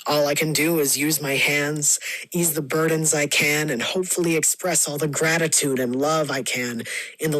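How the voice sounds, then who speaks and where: A regretful earnest man, solemn poetic confession, measured, audio drama, really dry sound. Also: mid-range voice, fairly bright tone, no echo, low-quality recording. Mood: tender, resolute. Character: earnest, regretful man, a struggling artist named Link.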